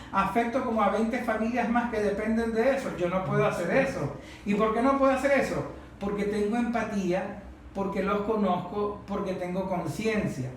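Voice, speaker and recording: The speaker has a Venezuelan accent and leads a political discussion with emphatic, didactic delivery, repeating phrases to drive points home. The recording is heavily reverberant, with faint noise underneath. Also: medium pitch. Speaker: discussion leader. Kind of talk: impassioned political talk to a group. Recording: very echoey room, faint noise.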